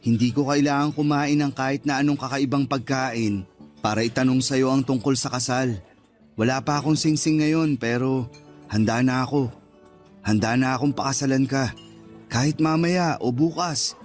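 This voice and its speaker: A man's voice: mid-range voice, steady tone, normal speed, faint music behind, natural voice. A man acting as a devoted, earnest boyfriend in a Tagalog radio drama. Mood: earnest, pleading.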